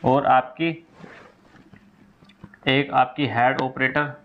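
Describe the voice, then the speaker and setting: A man's voice: medium pitch, flat delivery, drawn-out words, narrow, tinny audio, a little hiss or hum. A man giving an informal explainer to students as a presenter, going step by step and repeating key points. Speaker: exam news presenter explaining updates to candidates.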